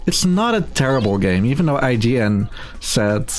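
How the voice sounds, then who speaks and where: A man's voice: medium pitch, very animated, normal speed, faint music behind. A man giving casual, joking commentary as he streams a video game. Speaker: streamer, speedrunner.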